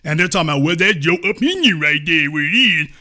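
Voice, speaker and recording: A man's voice: on the high side, animated, quick pace, pushed and bright. A man hosting a commentary show, talking back at a clip in an emphatic, lecturing way and repeating his points for stress. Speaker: commentator host. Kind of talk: reacting to an interview clip.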